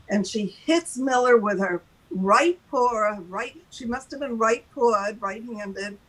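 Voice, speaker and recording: An older woman telling an anecdote casually, in a deliberate and emphatic manner, as a talk show host. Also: fairly high pitch, rough recording. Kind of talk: casual storytelling.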